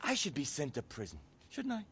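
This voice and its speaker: A high, bright American voice that swings up and down with every complaint. a middle-aged nightclub owner in a game cutscene, flamboyant, world-weary and bitingly sarcastic. He savours each jab theatrically. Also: wildly swooping pitch, brisk, husky, heavily acted. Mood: rueful. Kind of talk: video game cutscene acting.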